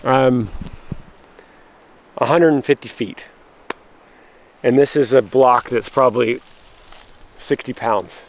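A man filming a walking vlog outdoors in rural woods on a handheld camera, talking excitedly to viewers and breaking off mid-sentence. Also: medium pitch, takes it slowly, pauses often, a little hiss or hum. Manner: excitable, breaks off and restarts, addresses viewers as guys. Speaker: vlogger showing storm damage. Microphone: handheld camera while walking.

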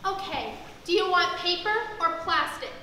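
Young woman acting eager teen cashier girl, super high voice, really echoey stage. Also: normal speed, recorded from a distance. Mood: polite, insistent. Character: eager, polite teenage girl, new cashier. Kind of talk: amateur stage comedy acting. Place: theatre stage, distant camera. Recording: very echoey stage, faint noise.

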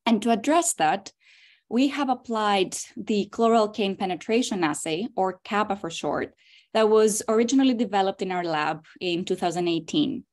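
A woman in her twenties from Greece, presenting her graduate research in a steady, explanatory way on a video call. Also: medium pitch. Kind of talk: scientific webinar talk. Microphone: computer mic on a video call.

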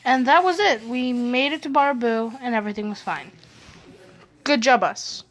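High-voiced teen girl telling stories. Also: animated, moderate pace, fairly bright tone, low-quality recording. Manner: rambling, self-mocking, giggly asides. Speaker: vlog host. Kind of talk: casual storytelling over drawings.

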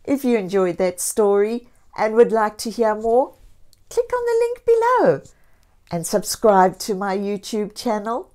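A woman storyteller reading a picture book aloud to young children, expressively and deliberately, with encouraging asides. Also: mid-range voice, big pitch swings, a little mellow. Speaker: children's storyteller and channel host.

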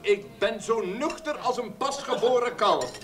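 An actor playing a tipsy, teasing retired colonel of about fifty in an old radio drama. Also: male voice, very high-pitched, measured pace, recorded from a distance. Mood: blustering. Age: middle-aged. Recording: off-mic amid loud party chatter.

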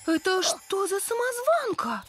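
A woman's voice: extremely high pitch, big pitch swings, measured pace, loud music behind the voice, heavily acted. A woman voicing a confident, know-it-all young monster girl in a children's cartoon, poised and explanatory. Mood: indignant, confident. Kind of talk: animated children's cartoon acting.

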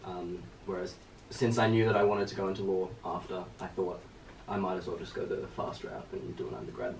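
A young man from Australia, a law student speaking in a relaxed, measured way in a casual interview, recorded by a distant camera. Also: sits low, gravelly. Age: young adult. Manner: relaxed, measured, trails off quietly. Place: small room with bookshelves, indoors. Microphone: far camera. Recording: roomy sound from a distant camera, faint noise.

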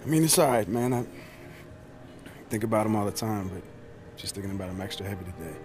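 An actor playing a reflective, easygoing American basketball player in a naturalistic, low-key video game performance. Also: male voice, animated, quick pace, background noise, natural voice. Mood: reflective, subdued. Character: professional basketball star, reflective, easygoing, close to his family.

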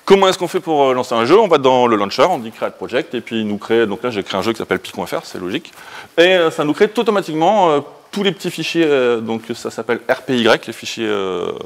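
A man in his thirties gives an informal tech talk at a rapid clip. He speaks colloquially and with strong opinions, often breaking off mid-sentence and starting over. His voice sits at a medium pitch. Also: big pitch swings. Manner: colloquial, opinionated, hesitant and self-interrupting. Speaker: conference speaker. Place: indoor meeting room, standing before a projection screen.

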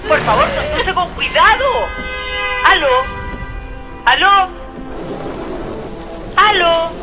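An anxious friend pleading in a radio play. Her very high voice is slightly drawn out and animated, with music and rain behind it. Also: extremely slow, heavily acted. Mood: pleading, alarmed. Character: alarmed female friend on a phone call. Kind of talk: radio drama acting.

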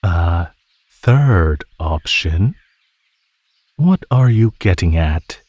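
A man voicing a teasing, menacing male naga in an ASMR roleplay, speaking in a mocking, condescending drawl with pauses. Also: low voice, animated, darkish tone, breathy edge, no echo, heavily acted. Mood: musing, skeptical. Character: teasing, menacing male naga predator toying with captive prey.

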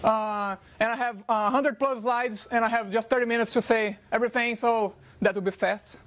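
Man, Brazilian Portuguese accent, native Portuguese speaker, fast conference talk, frequent fillers, lecture hall. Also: very high voice, steady tone, a bit bright.

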